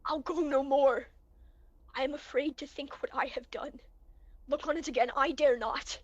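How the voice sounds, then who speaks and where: A young woman playing a guilt-stricken, fearful lord who has turned murderer, in slow, dramatic amateur Shakespearean verse. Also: sits high, fairly even intonation, dry recording, lo-fi sound, natural voice. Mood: fearful, appalled. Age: young adult. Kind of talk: amateur student Shakespeare performance, recorded remotely.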